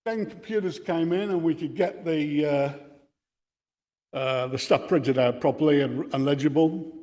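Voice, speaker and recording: An older man speaks as a presenter in a hall with a long reverb. His voice sits at a middle pitch. He explains things informally and reflectively, in somewhat halting phrases. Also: a little mellow. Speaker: presenter giving a talk on radio contesting.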